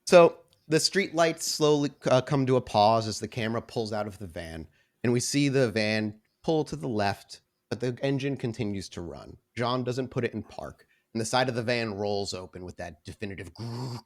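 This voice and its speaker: A man working as game master, improvising descriptive narration for a tabletop role-playing game in a halting, deliberate way. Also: medium pitch, expressive.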